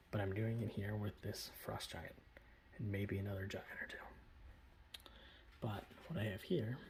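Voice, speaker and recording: A man speaking in a breathy whisper, presenting his hobby in a casual, rambling ASMR style. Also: slight background noise. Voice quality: breathy whisper with soft low speech. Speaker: hobbyist miniature painter presenting his collection. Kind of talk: whispered ASMR show-and-tell.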